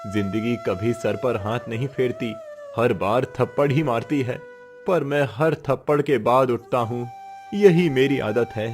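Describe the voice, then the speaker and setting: A man's voice: medium pitch, measured pace, a little mellow, close to an everyday voice. A man playing a poor, proud village labourer father, tender and resolute, in an earnest moral-story style. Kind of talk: Hindi moral story voice acting. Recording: music behind the voice.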